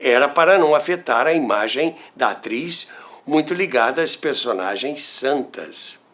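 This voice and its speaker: A man with a Brazilian Portuguese accent narrating scripted stories in a theatrical, deliberate way as a show host. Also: medium pitch, animated, fairly bright tone. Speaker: host and storyteller of a radio history show.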